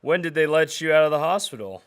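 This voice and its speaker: A man's voice: fairly high pitch, lively intonation, quick pace, clear, resonant tone, close to an everyday voice. A man playing a mild-mannered, wholesome reporter with old-fashioned exclamations in a radio drama. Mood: friendly, delighted. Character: mild-mannered, kindly male newspaper reporter. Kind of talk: 1940s-style radio drama acting.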